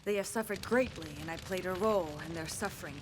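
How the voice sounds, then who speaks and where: A woman playing a grave, serene alien mystic in a video game, speaking in a measured, formal way. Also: lowish voice, slight background noise, natural voice. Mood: remorseful, sincere. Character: solemn, serene female alien mystic, remorseful.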